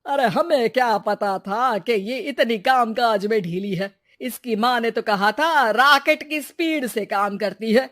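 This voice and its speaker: Woman as mother-in-law, raised pitch, scornful, greedy, scolding, moral tale. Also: a little slow, few pauses, a bit bright.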